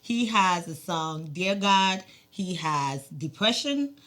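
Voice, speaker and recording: A woman's voice: lowish voice, expressive, slow, a bit bright. A woman from Nigeria and Ghana, a candid interview guest who laughs easily. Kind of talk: casual interview chat.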